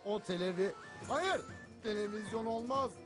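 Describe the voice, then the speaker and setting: A man voicing a sarcastic, self-assured, food-loving cartoon cat in an animated dub. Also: high-pitched, normal speed, lightly acted. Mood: panicked. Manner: wry, self-assured, theatrical. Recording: background music under the voice.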